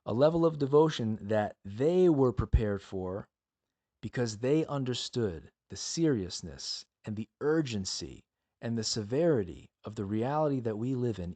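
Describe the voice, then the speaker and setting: A man delivers an earnest Bible lesson as a solo podcast host. His voice sits at a medium pitch. He speaks emphatically, leaning on rhetorical questions and repeated appeals to the listener. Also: mellow.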